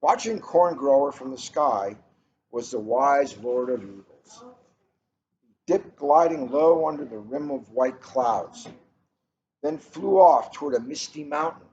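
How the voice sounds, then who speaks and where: A middle-aged man with unhurried, deliberate phrasing, chatting casually and reading a storybook aloud. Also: medium pitch, animated, a little mellow. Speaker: police officer reading a picture book aloud. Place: coffee shop, indoors. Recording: muffled, noisy room recording.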